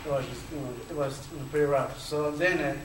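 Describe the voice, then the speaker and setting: A man's voice: fairly high pitch, fairly even intonation, a little fast. A man from Chile with a Chilean Spanish accent tells his personal story as a panelist, speaking with a stutter and many fillers. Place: lecture hall. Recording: echoey hall from far off, faint noise.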